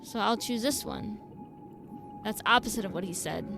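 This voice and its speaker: A woman's voice: medium pitch, moderate pace, vocal fry. A woman streaming a game, reacting casually in informal, slangy speech. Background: game music.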